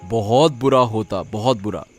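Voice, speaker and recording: A man voicing a humble, excitable vegetable seller in an animated moral story, eager and wondering, often repeating himself. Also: fairly low pitch, measured pace, soft background music, close to an everyday voice.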